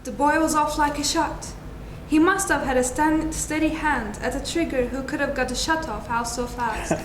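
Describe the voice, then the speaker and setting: A woman's voice: high-pitched, moderate pace. A young woman giving a dramatic scripted narration of a classic story, as a student reader. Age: young adult. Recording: echoey room with clear background noise.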